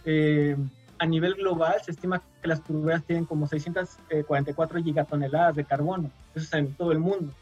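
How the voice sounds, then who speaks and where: Male guest, from Mexico, patient explainer, slightly high voice, dull lo-fi sound with faint music. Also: steady tone, fast talker, darkish tone, slightly rough. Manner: patient explainer with frequent fillers. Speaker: guest scientist. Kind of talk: radio interview, explaining.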